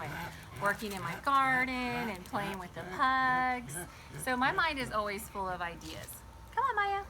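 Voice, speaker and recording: Female kids' read-aloud host, higher register, animated and question-filled delivery, recorded outside with noticeable ambient noise. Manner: animated, addresses young listeners with questions. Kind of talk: storybook read-aloud with chatty asides to children. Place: garden, sitting outdoors by a small table fort.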